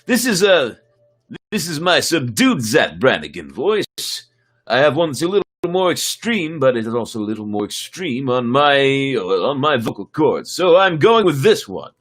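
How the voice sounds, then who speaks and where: Husky man chatting on a livestream. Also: medium pitch, very animated, normal speed, a bit bright. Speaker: host and story reader. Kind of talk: casual livestream chat between dramatic readings. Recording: music behind the voice.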